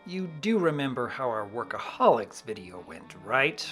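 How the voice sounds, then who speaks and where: A man's voice: medium pitch, big pitch swings, normal speed, pushed and bright, faint music behind. A man playing an unruffled doctor with a dry manner in a comedy video skit. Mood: dry, knowing.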